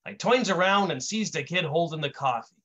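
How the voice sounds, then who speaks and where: A man's voice: a little high, expressive, brisk, fairly bright tone, lo-fi sound. A man voicing a short-tempered wise-guy comedian with old-time tough-guy slang and grammar, in a story read aloud. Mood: exasperated.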